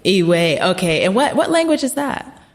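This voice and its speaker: A woman's voice: medium pitch, very animated, moderate pace. A woman hosting a podcast, interviewing her guest in an eager, affirming way. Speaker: podcast host. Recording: long reverb.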